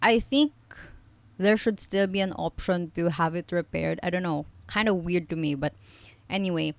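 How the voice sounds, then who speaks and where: A young woman in her twenties talks in a chatty, rambling way, correcting herself and adding quick asides. Her voice sits a little low and sounds smooth and clear, recorded close and dry with no room echo. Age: 20s. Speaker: vlogger. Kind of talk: casual chatty vlog.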